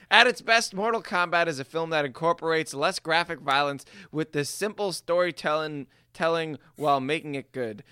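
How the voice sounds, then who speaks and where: A man's voice: on the high side, moderate pace, pushed and bright, clear, resonant tone, no echo, studio quality. A young man co-hosting a podcast, chatting casually and reading reviews aloud in a giggly, stumbling way. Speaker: podcast co-host.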